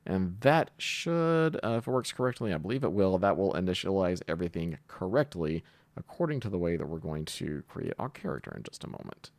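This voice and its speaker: A man giving a casual screencast coding tutorial, talking haltingly as he thinks aloud while typing. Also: sits low, very animated, no room sound. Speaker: programming tutorial host.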